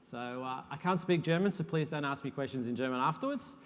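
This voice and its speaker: A man giving a technical conference talk as a software architect, relaxed and self-deprecating, with frequent uhs. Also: medium pitch, brisk. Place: conference hall. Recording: long hall reverb.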